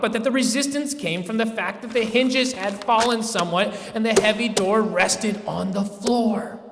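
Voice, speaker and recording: Slow, theatrical man reading in an echoey hall. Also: high voice. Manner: theatrical, deliberate, with drawn-out words and swells between hushed and forceful. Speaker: reader at a live public reading. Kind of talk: dramatic literary reading of a gothic horror novel. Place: large echoey room at a live museum event. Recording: echoey hall with long reverb.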